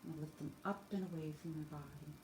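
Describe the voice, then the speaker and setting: A woman's voice: sits low, steady tone, a little fast. A woman teacher guiding children through yoga stretches with simple, encouraging step-by-step cues, talking as she demonstrates. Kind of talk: instructional exercise guidance, demonstrating while talking. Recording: echoey room, faint background noise.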